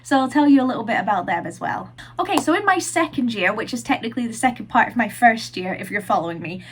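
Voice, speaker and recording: A woman's voice: fairly high pitch, expressive, quick pace, slight background noise. A young woman vlogging from her room, chatting casually with plenty of fillers, her nose blocked from a cold. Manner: chatty, lots of "like" and "um". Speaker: vlogger and university student.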